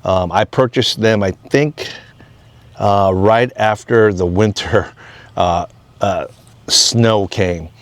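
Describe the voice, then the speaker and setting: Man, American accent, lowish pitch, casual unscripted vlog talk, plain-spoken, rambling explanations. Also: slow, no room sound. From Hawaii, United States. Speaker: off-grid homesteading vlogger.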